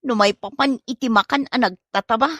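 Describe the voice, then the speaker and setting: A woman's voice: on the high side, brisk, fairly bright tone, dry recording. A woman with an Ilocano accent playing a nagging, exasperated wife in a village comedy drama. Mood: complaining.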